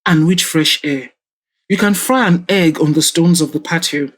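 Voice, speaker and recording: A woman acting out an irritable older father, stubborn, sarcastic, quick to anger. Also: sits low, breathy edge. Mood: grumbling.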